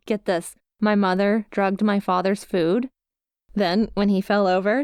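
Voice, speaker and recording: Woman acting a bubbly, inept yandere girl, crisp and clear. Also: medium pitch, a little mellow, no echo, studio quality, natural voice. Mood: sheepish, chatty. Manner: chatty, melodramatic, wheedling. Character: bubbly, inept young yandere schoolgirl pleading with her crush. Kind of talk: ASMR roleplay acting.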